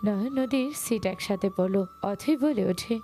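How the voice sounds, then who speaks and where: Lady narrator reading a love story, soft dark-ish tone, medium pitch, bit of music behind. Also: measured pace. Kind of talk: scripted narration of a romantic story.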